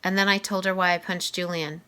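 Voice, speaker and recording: A woman reading a children's novel aloud as an expressive storyteller. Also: fairly low pitch, a little fast, clear, resonant tone. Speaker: reader.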